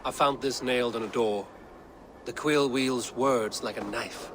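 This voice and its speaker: An actor playing a bold, proud Viking warrior in a video game, speaking in a blunt, boastful, measured way. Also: male voice, mid-range voice, background noise, lightly acted. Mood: grave, blunt. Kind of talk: dramatic video game acting.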